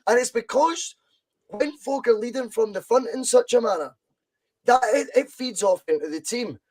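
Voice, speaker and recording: A man's voice: very high voice, expressive, moderate pace, a little mellow. A Scottish man hosting a podcast, talking football with passion and repeating points for emphasis. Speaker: podcast host. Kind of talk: casual football chat.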